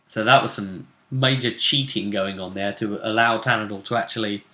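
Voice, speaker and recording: Man musing in a bright, echoey room. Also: fairly low pitch, normal speed. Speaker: host of a tabletop role-play vidcast. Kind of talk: casual tournament preview commentary. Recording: roomy echo.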